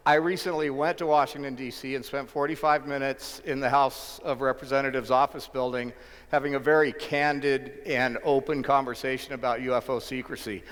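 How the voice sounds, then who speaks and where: A man's voice: mid-range voice, fairly even intonation, brisk, a bit bright. A man hosting a live event, introducing a guest with hesitant, admiring remarks. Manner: hesitant, admiring, many fillers. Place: convention hall. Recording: long hall reverb.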